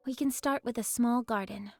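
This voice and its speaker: A woman's voice: on the high side, moderate pace, darkish tone, no room sound, soft background music. A woman playing a composed, capable young squad leader in an anime game, speaking decisively and politely.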